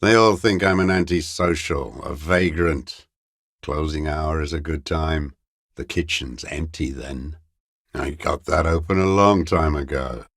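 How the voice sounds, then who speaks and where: An actor playing a bitter, paranoid old communist deserter in a video game, with a weary, gravelly voice and a halting, brooding, contemptuous mutter. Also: male voice, very deep voice, a bit bright, no echo, clean studio sound, exaggerated voice. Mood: brooding, furtive. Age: older. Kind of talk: video game character voice acting.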